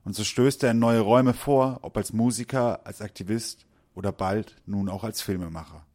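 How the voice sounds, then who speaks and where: A man reading a scripted narration for a culture report in a measured, even way. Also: fairly low pitch.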